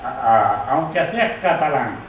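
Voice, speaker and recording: A man's voice: medium pitch, expressive, unhurried, dull audio, a little hiss or hum. An older man born in Galicia, a former rebel soldier, recalling the war in an interview, often ending with a questioning "no?". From Galicia, Spain. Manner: reflective, with many "¿no?" tags. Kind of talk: recollecting in an interview. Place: living room, indoors. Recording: very echoey room, mic far off.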